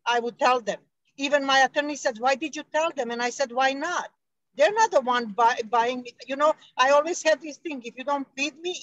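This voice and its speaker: A woman's voice: high-pitched, fairly bright tone, no echo, lo-fi sound. A woman calling in to a talk show, speaking hesitantly with many restarts. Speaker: caller. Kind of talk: casual call-in talk.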